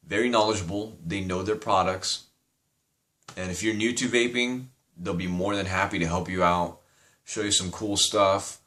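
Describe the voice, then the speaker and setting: A man's voice: deep voice, pushed and bright. A young man with a slight Persian accent, rambling casually through a product review and swearing now and then. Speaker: vape reviewer.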